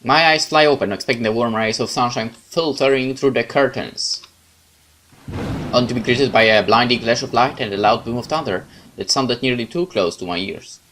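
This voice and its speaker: A man narrates a horror visual novel aloud for viewers, at a middle pitch. He reads the text dramatically. He breaks off now and then for casual side remarks. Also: moderate pace, a bit bright, a little hiss or hum. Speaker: let's play host.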